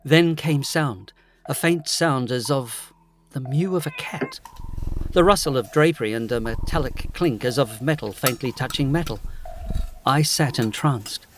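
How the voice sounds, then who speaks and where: Man playing a brooding, medium-pitched gothic gentleman. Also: measured pace, soft background music, lightly acted. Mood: haunted, brooding. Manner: dramatic, brooding. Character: uneasy, impressionable gentleman recounting eerie nights. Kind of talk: first-person gothic drama narration.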